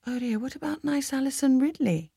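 A woman voicing a tired but eager young granddaughter who talks in an animated, exclamatory way. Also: high voice, a little fast, vocal fry, no room sound, clean studio sound, pitched above the performer's own voice.

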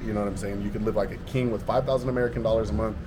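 A man's voice: fairly low pitch, fairly even intonation, rapid-fire. A man chatting casually on a podcast, laid-back and slangy, filling his talk with "like". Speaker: podcast guest. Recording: noticeable background ambience noise.

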